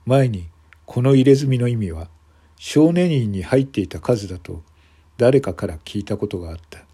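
A man's voice: medium pitch, expressive, normal speed, warm tone, breathy edge. A man reading scripted story narration as the narrator of a radio drama.

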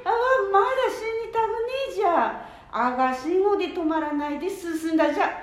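A woman playing a hasty, excitable old grandma who scolds in a Nanbu dialect of northern Japan in an amateur radio drama. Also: very high-pitched, a little fast. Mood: panicked. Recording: echoey room.

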